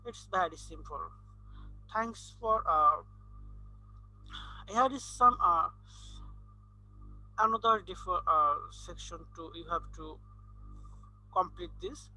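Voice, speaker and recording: Male tutorial presenter, Bangladeshi accent, Bangla native. Slow, halting delivery, piercingly bright voice. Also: high voice, faint music behind, poor audio. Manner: halting, hesitant, piecemeal phrasing. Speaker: freelancer giving an online tutorial. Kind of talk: unscripted screen-recording tutorial.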